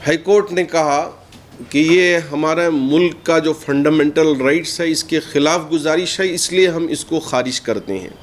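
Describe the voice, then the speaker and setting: A man's voice: on the high side, normal speed. A man delivers a statement in the role of a cleric. His wording is formal and his manner earnest, as if addressed to the news media. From India. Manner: earnest, formal Urdu-laced speech.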